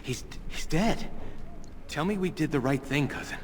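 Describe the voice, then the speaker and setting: A man's voice: fairly high pitch, animated, moderate pace, slight background noise, lightly acted. An actor playing an anxious young male elf in a fantasy video game, nervous and hesitant, in a reverberant space. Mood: shaken.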